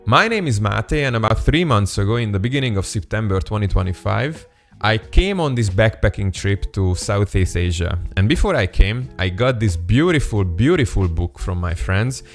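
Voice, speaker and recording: A young man whose first language is Hungarian, talking earnestly and upbeat to camera in a casual vlog, with small laughs and restarts. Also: lowish voice. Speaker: vlogger. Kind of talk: casual direct-to-camera talk.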